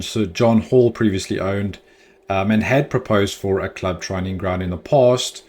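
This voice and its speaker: A man's voice: on the low side, slight background noise. A man hosting a football fan channel, giving chatty, opinionated news commentary with the odd filler.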